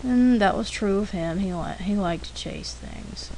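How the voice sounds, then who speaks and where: A woman talks through an art demo in a slow, casual, unscripted way. Her storytelling rambles and halts as she corrects herself, at a middle pitch. The homemade recording has noticeable noise behind her. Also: a little mellow. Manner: rambling, halting, self-correcting. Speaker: artist narrating a drawing demonstration. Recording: clearly noisy homemade recording.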